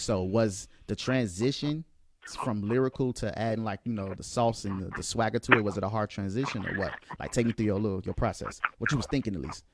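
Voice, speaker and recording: A man hosting a casual interview, feeling his way through questions in a halting, rambling way. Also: lowish voice, slight background noise. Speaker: interview host.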